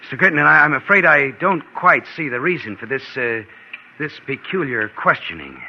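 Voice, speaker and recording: An actor playing a scheming, stammering nephew and impostor in 1940s-style radio suspense, sliding between narration and agitated, defensive protest. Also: male voice, medium pitch, very animated, brisk. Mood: indignant, rattled. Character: scheming impostor nephew, nervous, glib, feigning shock. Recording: dull old radio recording, music behind.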